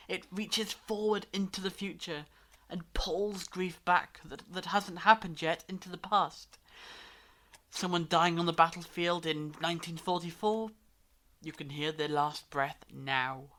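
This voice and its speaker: A woman playing a brainy time-travelling alien in a fan audio drama, lecturing gravely in deliberate monologues with dramatic pauses. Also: fairly low pitch, fairly bright tone. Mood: grave, ominous.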